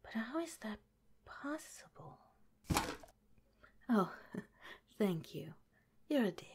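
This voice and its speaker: A gentle, caring head scientist in charge of a secret underground lab, as a woman plays her for an ASMR roleplay. She speaks in a soft, unhurried whisper, taking her time over every word. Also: a little high, sing-song, slight background noise.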